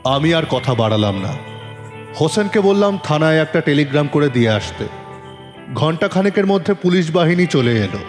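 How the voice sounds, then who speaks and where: নাটকীয় ওঠানামার গলায় পুরুষ গল্পকথক. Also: male voice, a little high, big pitch swings, normal speed. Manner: theatrical, stern when questioning. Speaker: narrator playing a police detective. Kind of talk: dramatised first-person story narration. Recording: long reverb, faint music behind.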